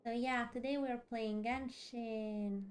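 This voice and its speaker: A woman's voice: on the high side, fairly even intonation, slow pace, a little hiss or hum. A woman chatting casually on a game livestream, a bit sleepy, repeating phrases for emphasis. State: a bit sleepy after a long day. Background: background music.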